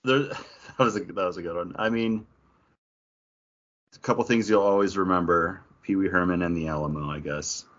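A man's voice: deep voice, normal speed, long pauses, a bit bright, dull audio. A man hosting a podcast in a casual, conversational style. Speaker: podcast host. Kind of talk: casual chat.

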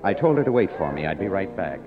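A man's voice: deep voice, very animated, fast. A man playing a cynical, wisecracking young screenwriter in a 1950s radio drama, with a wry, hard-boiled manner. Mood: reflective narration. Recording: muffled old radio broadcast, loud music.

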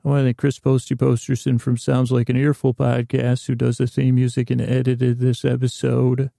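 A man hosting a bedtime podcast with a rambling, halting, goofy improvised monologue that trails off mid-sentence. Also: medium pitch, monotone, creaky, mellow, no room sound, clean studio sound. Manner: goofy, whimsical. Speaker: podcast host.

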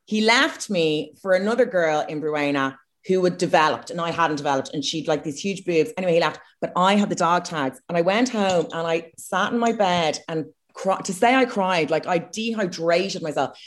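Irish woman on a podcast, kinda low voice, blunt crude storytelling. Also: normal speed, few pauses. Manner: frank, crude and self-mocking. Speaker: podcast co-host. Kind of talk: casual comedic chat.

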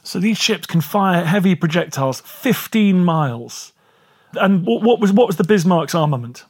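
A man's voice: sits high, moderate pace, dry recording, clean studio sound. A man with a dental lisp, hosting a history podcast and interviewing a guest. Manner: warm thanks, lively questioning. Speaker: podcast host.

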